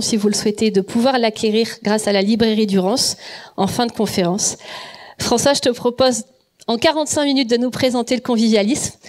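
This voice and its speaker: A woman hosting an event, giving a measured and polite formal introduction of the speaker. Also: on the high side. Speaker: event host.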